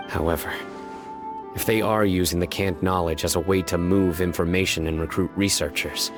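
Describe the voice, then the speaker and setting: A man voicing a stern, calm young male judge who speaks in a measured, grave and terse way in a video game. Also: low-pitched, natural voice. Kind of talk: game voice acting. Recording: game audio with music behind.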